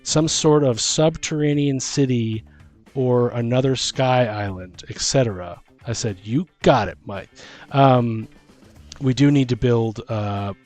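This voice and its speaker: A man chatting casually as a game master and host, thinking out loud in an excitable, halting way. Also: medium pitch, faint music behind. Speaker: host and dungeon master on a tabletop gaming show. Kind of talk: casual brainstorming chat.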